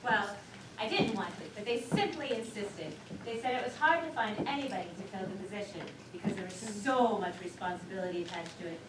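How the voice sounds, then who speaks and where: A woman's voice: medium pitch, fairly quick, lightly acted. A woman on stage playing a proud, chatty, self-important wife in a comedy, gushing and self-satisfied. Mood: smug, boastful. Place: theater stage, recorded from afar. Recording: echoey theater, distant mic, noisy.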